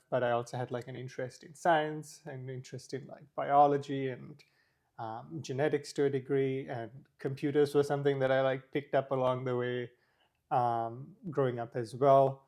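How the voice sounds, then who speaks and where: Relaxed young man from Sri Lanka. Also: mid-range voice. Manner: relaxed, many fillers like "like" and "um". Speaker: guest. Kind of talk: casual interview chat.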